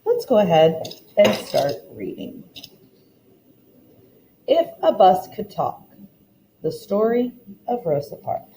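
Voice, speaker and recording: Mellow, expressive woman teacher reading aloud. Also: mid-range voice, slow pace, long pauses, slight background noise, rough recording. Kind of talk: expressive storybook read-aloud lesson.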